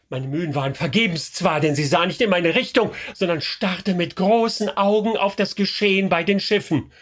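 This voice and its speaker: An actor playing a troubled medieval youth, narrating gravely and dramatically in a radio play. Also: male voice, high-pitched, a bit bright, full character voice. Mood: anxious.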